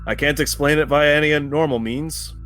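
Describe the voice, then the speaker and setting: A man's voice: fairly high pitch, fairly even intonation, normal speed, fairly bright tone. A man playing a tabletop role-playing game, bantering casually and speaking for his character. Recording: faint music behind the voice. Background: faint background music.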